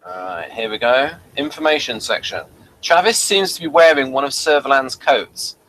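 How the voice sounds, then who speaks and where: A man with a bright, forward voice hosts a casual review. He reads out notes and comments on them with dry, wry humour. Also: medium pitch, animated, normal speed, slight background noise, rough recording. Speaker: review show host. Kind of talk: casual review chat, partly reading aloud.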